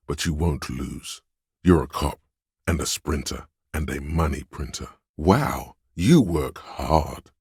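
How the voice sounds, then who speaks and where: A man voicing a slick, urging inner voice of agility and style in a video game, smooth, coaxing and theatrical. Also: a bass voice, a little mellow, no echo, studio quality, full character voice. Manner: smooth, theatrical, coaxing second-person address.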